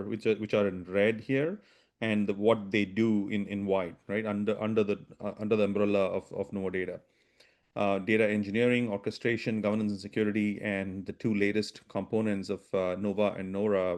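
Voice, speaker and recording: A man presenting a business webinar, talking quickly with frequent restarts and "right?" tags. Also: fairly low pitch. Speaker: webinar presenter, product strategy VP.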